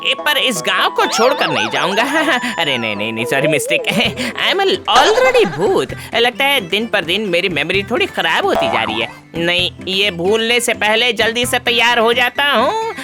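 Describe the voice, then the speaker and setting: A woman voicing a mischievous, lovestruck, boastful male ghost in an animated comedy, with a theatrical, giggly, exclamatory delivery. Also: a little high, normal speed, few pauses. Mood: gleeful. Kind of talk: animated comedy cartoon acting. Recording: loud music behind the voice.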